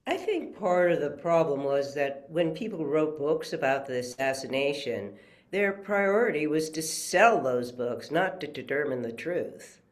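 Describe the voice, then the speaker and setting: A man's voice: fairly high pitch, expressive, a little slow. A man reading a thoughtful audience member in scripted conversational dialogue. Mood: reflective. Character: thoughtful male audience member discussing the case. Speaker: actor in a screenplay table read.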